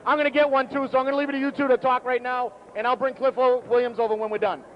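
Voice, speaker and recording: A man with a very high voice interviews players on the sideline, blunt and teasing. The recording is dull and muffled. A little noise sits behind him. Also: flat delivery, rapid-fire. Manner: blunt, joking. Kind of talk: post-game interview.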